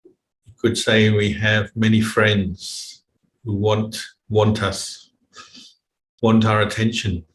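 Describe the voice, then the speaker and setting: A man teaching a guided meditation in a measured, unhurried way, with long pauses between phrases. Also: on the low side, little pitch movement. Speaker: meditation teacher, Buddhist monk. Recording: roomy echo, faint background noise.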